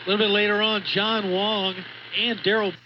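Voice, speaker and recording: A man's voice: sits high, level tone, normal speed. A man presenting broadcast talk, heard through a radio. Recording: dull radio playback with hum and noise.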